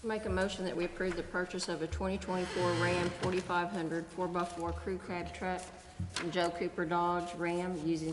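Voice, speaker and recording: A woman council member speaking in formal meeting language. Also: on the low side. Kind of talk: formal motion read aloud. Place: indoor council chamber. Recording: echoey chamber with clear background noise.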